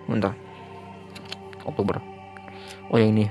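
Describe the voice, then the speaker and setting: An Indonesian man hosting a reaction video with casual, slangy, excitable commentary. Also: fairly low pitch, measured pace, gravelly. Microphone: close microphone at home. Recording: music behind the voice, some room noise.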